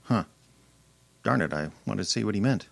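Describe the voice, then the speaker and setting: Wry, low-voiced wisecracking detective played by a man. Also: normal speed, gravelly, heavy background noise. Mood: sardonic. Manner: wry, slangy, deadpan. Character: wisecracking, streetwise male private detective's legman. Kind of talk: old-time radio detective acting, first-person narration.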